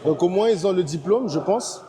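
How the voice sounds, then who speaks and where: A man's voice: high-pitched, animated, moderate pace, a crowd in the background. A man in his thirties from French Guiana, a personal trainer chatting casually in a large gym, hesitant with many fillers. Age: 30s. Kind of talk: casual chat.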